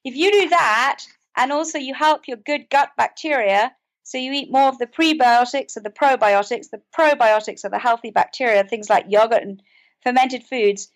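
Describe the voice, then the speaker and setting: A British woman with a high-pitched voice talks as a guest in an interview. She explains things earnestly, in a conversational style. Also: normal speed. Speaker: podcast guest, author and mental health advocate.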